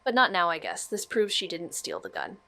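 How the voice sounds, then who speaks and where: A woman's voice: on the low side, huge pitch swings, brisk, vocal fry, bright, forceful tone, heavily acted. A woman playing a level-headed, sardonic young amateur detective in an audio drama, speaking casually and matter-of-factly. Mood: focused, dry. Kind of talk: scripted audio drama dialogue.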